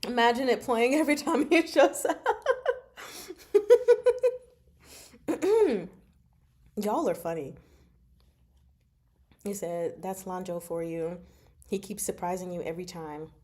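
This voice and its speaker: A woman hosting a casual book discussion, speaking from notes in an animated, giggly, self-interrupting way. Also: medium pitch, slow pace, pauses often. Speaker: host of a book discussion show. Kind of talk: casual fan discussion, reading from notes and comments.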